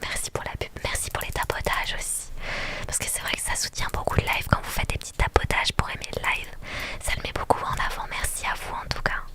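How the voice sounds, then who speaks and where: A young woman in a soft, breathy whisper, reading a story game aloud and chatting casually with live viewers in an enthusiastic, playful ASMR manner. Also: a little fast. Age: young adult.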